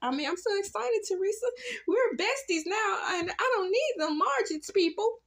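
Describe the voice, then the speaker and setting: A woman putting on a gushing, excited, fawning reality TV woman in an exaggerated, mocking recap impression. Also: very high voice, normal speed, voice pushed up, heavily acted. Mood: gushing excitement.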